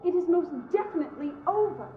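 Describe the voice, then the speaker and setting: A woman's voice: very high-pitched, lively intonation, fast talker. A woman playing a proud, indignant young bride-to-be in a video game, accusing and emphatic. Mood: angry, accusing. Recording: echoey, dull, loud music behind.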